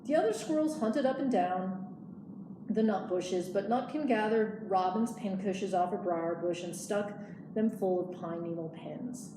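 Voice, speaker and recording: A woman's voice: mid-range voice, a little slow. A woman reading a children's storybook aloud as a librarian storyteller. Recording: echoey room, clear background noise.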